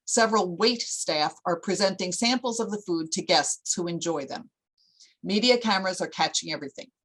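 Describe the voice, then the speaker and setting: A woman's voice: lowish voice, a bit bright, low-quality recording. A woman narrating a screenplay at a table read, reading out scene headings and stage directions.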